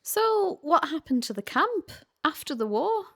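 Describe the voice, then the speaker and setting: A woman's voice: high voice, sing-song, a little slow, fairly bright tone, heavily acted. An actress playing a curious young woman visitor who asks eager questions in a community radio play. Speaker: listener character.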